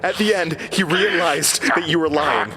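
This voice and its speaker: A man's voice: fairly high pitch, normal speed, bright, forceful tone, slightly rough, full character voice. A man playing a troubled, determined radio host in a horror audio drama, intense and terse. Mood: accusing, resolute. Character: troubled, resolute male radio host confronting his tormentor. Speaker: lead actor and creator. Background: music.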